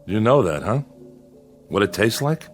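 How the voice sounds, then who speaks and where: An actor playing a lonely, prickly exiled man, gruff and sardonic, in a science fiction audio drama. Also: on the low side, big pitch swings, measured pace, faint music behind. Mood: grudging. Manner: gruff, wry, guarded.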